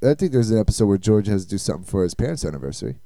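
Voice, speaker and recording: A young man co-hosting a casual comedy chat with offhand, deadpan quips. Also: lowish voice, racing, vocal fry, no echo, clean studio sound. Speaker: podcast co-host.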